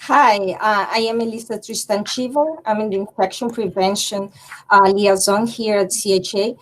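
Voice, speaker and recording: A woman's voice: medium pitch, steady tone, normal speed, rough recording. A woman from Panama who works in infection prevention, introducing herself on a video call in a slightly hesitant way.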